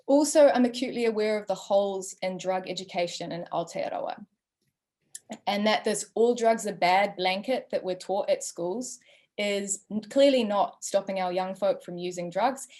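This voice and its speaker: A woman's voice: mid-range voice, darkish tone. Earnest young New Zealand woman hosting. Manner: earnest, frequent ums. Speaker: panel host. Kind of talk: webinar introduction and opinion.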